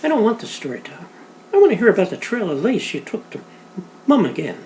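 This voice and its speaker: A man's voice: high voice, fast talker, mellow, a little hiss or hum, voice pushed up, natural voice. A man voicing a frightened little girl who cries out shrilly and tearfully for her parents. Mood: pleading.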